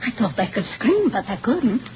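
An actress playing a frightened, indignant Swedish housemaid with a Swedish accent, giving witness in halting broken English in an old radio drama. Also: female voice, a little high, sing-song. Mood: frightened, recounting. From Sweden. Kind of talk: mid-century radio drama acting. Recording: dull, noisy old radio recording.

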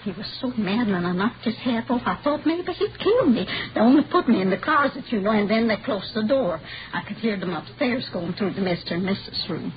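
An old, muffled radio drama recording with clear noise carries an actress as a Swedish housemaid. She is frightened and indignant, speaking halting, emphatic broken English with a Swedish accent at a middling pitch. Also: animated, close to an everyday voice. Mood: nervous, earnest. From Sweden. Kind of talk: mid-century radio drama acting.

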